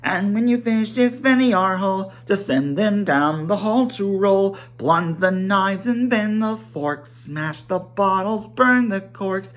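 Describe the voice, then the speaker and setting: A man's voice: high-pitched, lively intonation, measured pace, hardly any pauses, voice pushed up. A man singing as mischievous, boisterous dwarves in a rhythmic, teasing storybook song.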